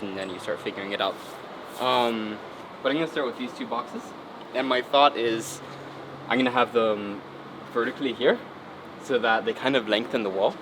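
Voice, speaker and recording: A man's voice: mid-range voice, noisy background. A man setting a climbing route, talking casually while he works and fighting off a cold, thinking aloud with plenty of ums and likes. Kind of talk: casual vlog narration while working. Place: indoor climbing gym.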